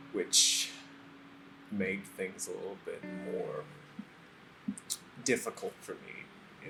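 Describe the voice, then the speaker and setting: A man in his mid-twenties giving a halting personal account, pausing mid-sentence. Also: deep voice, poor audio. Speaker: interviewee, animator. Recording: music behind the voice. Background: plucked guitar music.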